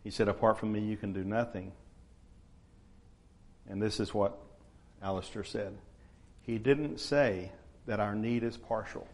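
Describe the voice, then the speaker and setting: A man, preaching a sermon to his church in a relaxed, conversational way. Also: lowish voice. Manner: conversational, unhurried, frequent uh fillers. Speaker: pastor.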